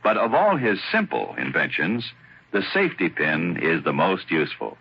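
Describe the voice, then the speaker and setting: A man narrating in a measured, scripted radio style. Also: deep voice, very animated, vocal fry, breathy edge. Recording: dull old radio broadcast.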